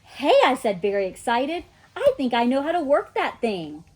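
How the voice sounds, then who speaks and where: An excitable little kindergarten girl , acted out by a woman reading a storybook, chattering with cheeky emphasis. The pitch leaps around in a lively way, with a slightly husky edge to the childlike delivery. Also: moderate pace, full character voice. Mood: excited. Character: excitable, mischievous little kindergarten girl telling her own adventures. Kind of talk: children's storybook read-aloud.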